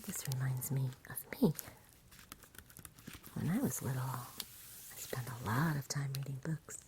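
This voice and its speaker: A woman giving a soft-spoken, breathy, whispered ASMR reading of a bedtime story in a gentle storybook manner. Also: extremely low pitch, unhurried, slight background noise.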